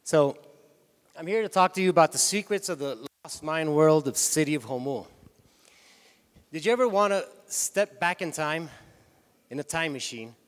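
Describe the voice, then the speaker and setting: A man's voice: a little high, lively intonation, moderate pace, long pauses, clean tone. A man with a Spanish accent, whose first language is Spanish, gives a loose, chatty stage talk, often restarting his sentences. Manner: loose, chatty, self-correcting. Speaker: tour company head giving a talk. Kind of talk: stage presentation. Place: hall with audience. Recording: reverberant hall.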